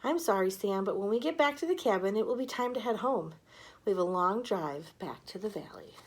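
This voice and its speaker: A woman voicing a calm, practical father in a storybook, gently reasoning and reassuring. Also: mid-range voice, a little fast, breathy edge.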